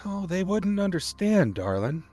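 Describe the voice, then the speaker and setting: Audio drama role: male actor as tender, halting deputy dad, guilt-ridden and protective, faint music underneath. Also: sits high, big pitch swings, dark tone, exaggerated voice. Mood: tender, reassuring. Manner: tender, halting, occasionally stern. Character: protective, guilt-ridden father, a deputy hiding his vampire daughter. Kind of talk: dramatic audio theatre acting.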